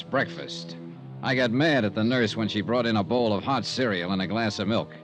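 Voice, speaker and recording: An actor playing a wry, hard-boiled insurance investigator in a 1950s radio drama, narrating in a dry, clipped way. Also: male voice, medium pitch, natural voice, low-quality recording. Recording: vintage radio recording with music behind.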